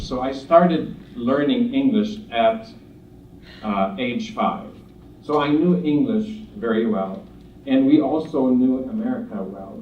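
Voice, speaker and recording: A man's voice: mid-range voice, a little hiss or hum. A man from Lebanon giving an earnest, humorous motivational speech at a conference, telling stories with jokes and asides. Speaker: speaker at a conference. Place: conference hall. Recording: distant roomy hall sound, dull.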